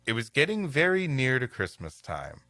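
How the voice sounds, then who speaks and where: A man's voice: mid-range voice, huge pitch swings, normal speed, bright, forceful tone, dry recording. A man hosting a comedy podcast, reading old stories aloud and bantering casually. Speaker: podcast host and story reader. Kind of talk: storybook narration with comic banter.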